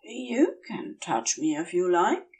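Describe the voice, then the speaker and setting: A woman's voice: lowish voice, big pitch swings, slow, a little mellow, recorded from a distance. A woman voicing a prim, dazed male angel in an audiobook, speaking with polite, rambling earnestness and longing. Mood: earnest longing.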